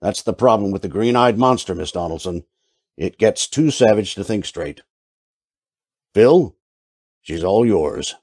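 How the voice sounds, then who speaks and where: A man acting a shrewd, world-weary detective in an old-time radio drama, deadpan and wry in delivery. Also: lowish voice, measured pace, pauses often, breathy edge, no room sound, clean studio sound, heavily acted. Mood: coolly accusing.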